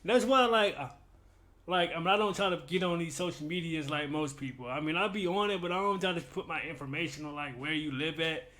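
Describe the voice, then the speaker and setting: A man hosting a reaction video with casual, slangy, animated interjections and commentary. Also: on the high side, a little fast.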